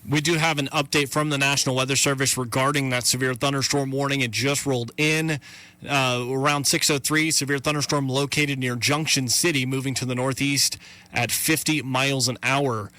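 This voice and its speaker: A man's voice: mid-range voice, fairly even intonation, normal speed, pushed and bright. A man hosting live radio severe weather coverage, reading warnings, place names and safety instructions in a matter-of-fact, urgent way.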